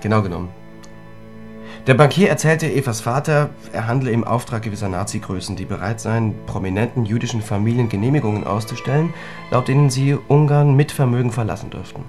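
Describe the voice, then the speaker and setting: A male actor plays a sober scholar in a radio drama, setting out a dark history. His voice is mid-range, and he speaks with grave, careful precision. Soft music lies behind him. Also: mid-range voice, fairly quick, natural voice. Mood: grave, explanatory. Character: sober, precise scholarly man recounting a tragedy. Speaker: informant recounting a history. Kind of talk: German radio drama dialogue, explanatory storytelling. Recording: studio radio play with music.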